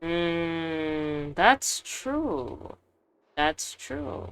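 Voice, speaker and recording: A woman's voice: sits low, sing-song, very slow, gravelly, fairly bright tone, a little hiss or hum. A woman giving casual, chatty, slangy commentary as she plays a video game and reacts aloud. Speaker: game streamer.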